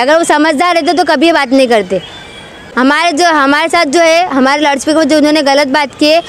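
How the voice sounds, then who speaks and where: A woman's voice: high voice, a little hiss or hum. A woman giving an unscripted, impassioned political statement, admonishing and repeating her appeals insistently.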